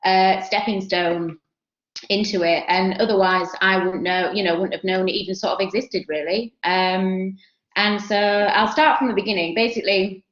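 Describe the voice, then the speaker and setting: A woman's voice: mid-range voice, steady tone, normal speed. A young woman with a Yorkshire accent, chatting as a guest speaker about her career, self-deprecating and full of fillers. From Yorkshire, England. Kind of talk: casual career talk on a video call. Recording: roomy, dull video-call audio.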